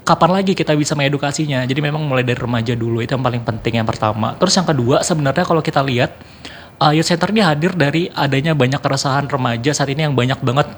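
A man talks at a quick clip in a mid-range voice. He answers as an interview guest, laying out his points in an orderly, conversational way. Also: medium pitch, a little hiss or hum. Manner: explanatory, orderly, enumerating points. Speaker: youth organization board member, radio guest.

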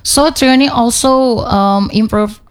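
Slow, hesitant woman interviewer. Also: on the high side, clean tone. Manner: hesitant, many "um" and "uh" pauses. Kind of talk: casual interview.

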